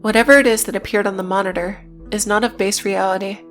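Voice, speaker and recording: A woman's voice: mid-range voice, steady tone, fast. Woman narrating evenly over music. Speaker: video essay narrator. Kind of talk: scripted narration with casual asides.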